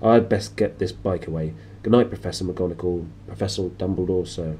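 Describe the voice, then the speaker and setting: Man acting big shaggy giant, storybook character, tearful, humble, low pitch, faint noise. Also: brisk, mellow, natural voice. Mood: tearful, muffled. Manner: sobbing, stammering, humble. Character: huge shaggy tender-hearted giant man, weepy.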